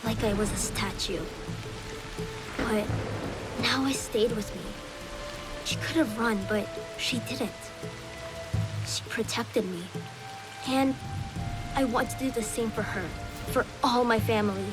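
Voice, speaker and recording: High-pitched, earnest young boy. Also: slow, long pauses, over loud music. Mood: solemn, determined. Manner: earnest, respectful, boyish. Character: earnest, eager young boy, a samurai's devoted pupil. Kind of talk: video game cutscene acting.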